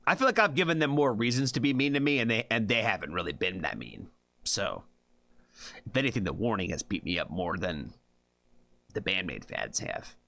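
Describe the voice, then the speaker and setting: Lively-voiced man chatting on stream. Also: on the low side, normal speed, creaky. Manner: loose, chatty, swears freely, keeps asking "you know what I mean"; laid-back, rambling with many fillers. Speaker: music reaction streamer. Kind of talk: casual livestream chat.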